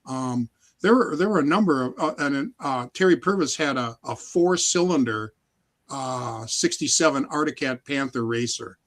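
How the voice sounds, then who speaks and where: Midwestern guy just chatting as a podcast guest, medium pitch, reminiscing. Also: lively intonation, moderate pace. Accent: American Midwestern. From American Midwest. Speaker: podcast guest, vintage snowmobile collector. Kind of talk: casual chat, reminiscing.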